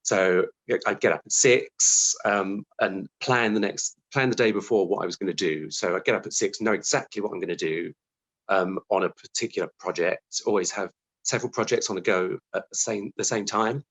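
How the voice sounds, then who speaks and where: A British man with a fairly low voice speaks as an interview guest. He is reflective and conversational, slipping into animated quotes of his own thoughts as he explains things. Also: normal speed, slightly rough, rough recording. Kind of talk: conversational interview answer, recounting own career.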